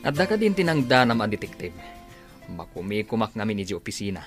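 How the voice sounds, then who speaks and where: A man's voice: fairly low pitch, big pitch swings, quick pace. An actor playing a sardonic male accomplice in an Ilocano radio drama. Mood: dry, conniving. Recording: studio drama over clear background music.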